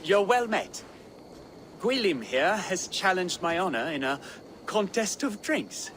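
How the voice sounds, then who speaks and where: Hearty, swooping high-voiced nobleman. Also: very animated, takes it slowly, a bit bright, slight background noise, heavily acted. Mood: jovial. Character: hearty, sociable male local nobleman at drink. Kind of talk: video game voice acting.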